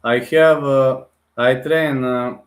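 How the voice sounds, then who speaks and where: A man talks straight to his livestream viewers, calling them guys and answering their comments bluntly in English. His voice sits at an ordinary middle pitch, and a roomy echo hangs around every line. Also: fairly even intonation, takes it slowly. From Bulgaria. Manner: direct, blunt, addresses viewers as guys, non-native phrasing. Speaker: livestream host.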